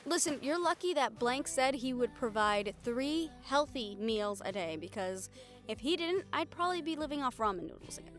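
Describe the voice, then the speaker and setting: Woman acting a high-voiced affectionate young girlfriend, music behind. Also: lively intonation, a bit bright. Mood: teasing. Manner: halting, tender, wryly teasing, casually sweary. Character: affectionate, teasing young woman reporter, devoted girlfriend moving in. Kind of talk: intimate girlfriend audio roleplay acting.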